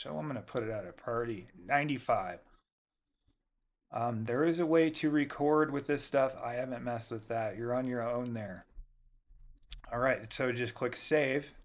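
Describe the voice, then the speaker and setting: A man with a mid-range voice narrates a software tutorial. He is informal and casual, guiding the listener one step at a time as the host. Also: mid-range voice.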